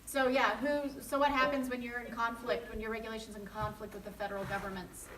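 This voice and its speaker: Woman running a meeting, flat steady voice, far from the mic in an echoey room with clear background noise. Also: on the high side, fast talker. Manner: loud, relays questions clearly. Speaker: meeting facilitator. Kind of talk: public meeting chair.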